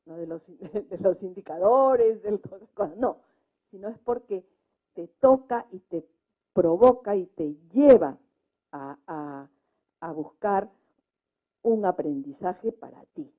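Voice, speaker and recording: A woman's voice: mid-range voice, lively intonation, slow pace. A woman speaking spontaneously as a panelist in a debate, making her points emphatically and often checking in with short tag questions. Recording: muffled, narrow-band live recording.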